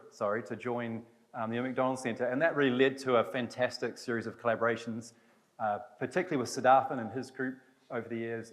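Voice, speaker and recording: A man with a New Zealand accent opens a session at an academic symposium in a lecture hall. His voice sits at an ordinary middle pitch, and he reminisces in loose, rambling phrases with small restarts. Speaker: session chair. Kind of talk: symposium chairing remarks.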